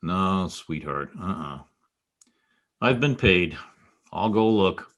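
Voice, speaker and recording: An actor playing a hard-boiled, wisecracking private detective in a 1940s-style radio drama, with a wry, clipped delivery. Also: male voice, low-pitched, animated, slow, fairly bright tone, slight background noise, heavily acted. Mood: probing, wry.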